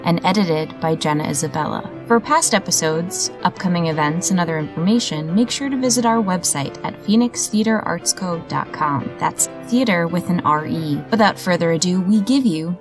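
Low-voiced woman announcer over music. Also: expressive, normal speed, few pauses. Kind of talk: scripted programme introduction.

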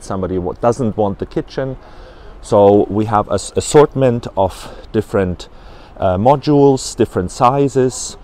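A man's voice: lowish voice, mellow. A man in his forties at a trade fair, walking through a camper van and explaining its fittings, with many hesitant fillers, on a lapel mic in a large exhibition hall. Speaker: company representative at a trade fair stand. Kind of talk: product walkthrough.

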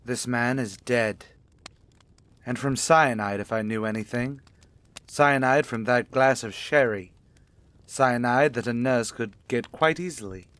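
A man's voice: medium pitch, measured pace, fairly bright tone, clear, resonant tone, natural voice. A man playing a stern police detective in a radio mystery, grave and emphatic. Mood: grim, triumphant. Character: stern, triumphant police detective making an arrest.

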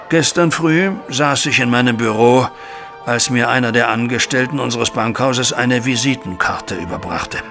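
Audio drama banker: anxious, formal, wordy, with a crisp forward tone and some fry. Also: male voice, medium pitch, pushed and bright. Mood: formal, troubled. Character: anxious, respectable male banker recounting his troubles. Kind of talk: audio drama acting, narrating a flashback.

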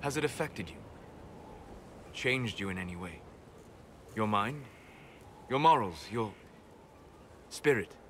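Measured, scholarly elven mage, noisy ambience. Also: male voice, on the low side, animated, a bit bright. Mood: earnest, thoughtful. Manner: measured, deliberate, formal phrasing with pauses. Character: calm, scholarly, reserved elven mage, admiring yet condescending. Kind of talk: video game dialogue acting.

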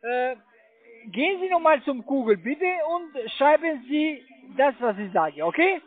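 A German-speaking man, working as a call center agent and giving halting but polite, insistent computer instructions. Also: very high-pitched, very animated. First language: not German. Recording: tinny, band-limited phone line.

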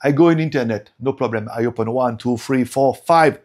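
A man's voice: medium pitch, fairly quick, darkish tone. A man in his forties with a French accent, a native French speaker, talking casually in broken English and laughing often as he reviews tech at home. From France.

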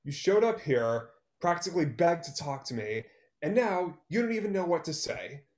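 A man's voice: on the high side, very animated, normal speed, a little mellow. Young man acting a curt, bitter performer, echoey room. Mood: sarcastic, impatient. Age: young adult. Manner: curt, sarcastic. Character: bitter, sarcastic male music performer, impatient with an ex. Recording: echoey room on video call.

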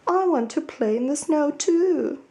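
A little boy mole with a cold, sniffling and sad, as a woman reading aloud puts him on. He speaks slowly and softly. The voice sits a touch higher than plain talk. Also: expressive. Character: young male mole, sick and sniffly.

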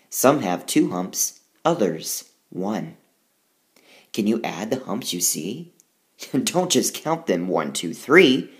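A male teacher reading a rhyming picture book aloud and walking through the math, recorded as a tablet screencast voice-over. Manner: slow, sing-song rhyming read-aloud with lively pitch.

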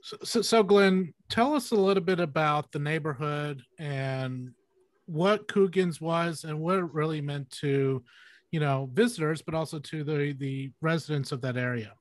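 Male host, middle-aged, slightly high pitch, close dry sound, casual questioning on a video call. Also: moderate pace, no echo. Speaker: interviewer, host. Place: indoor room, video call.

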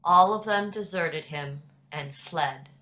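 Older woman narrating a bible story on a video call, slow n careful, really bright clear tone. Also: fairly low pitch. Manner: measured, deliberate reading. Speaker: narrator in a church dramatic reading. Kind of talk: scripted scripture narration. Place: indoors at home on a video call. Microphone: video call computer microphone.

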